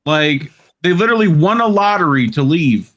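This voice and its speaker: A man's voice: fairly high pitch, lively intonation, moderate pace, a bit bright. A man giving casual political commentary in a group chat, explaining his opinions. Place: online group call.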